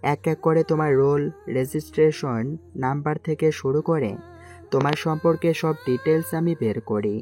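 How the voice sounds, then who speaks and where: An earnest young prime minister in disguise, as a woman voices him for an amateur audio story. The voice sits extremely low with a dark, mellow tone, soft and tender in delivery. Faint music plays underneath. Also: moderate pace, close to an everyday voice. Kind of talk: amateur audio drama acting. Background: faint background music.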